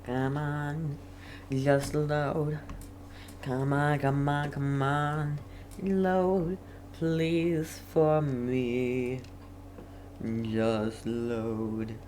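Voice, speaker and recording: A man's voice: mid-range voice, level tone, very slow, a little mellow, a little hiss or hum. A man playing a game as a streamer, commenting casually and reading dialogue aloud.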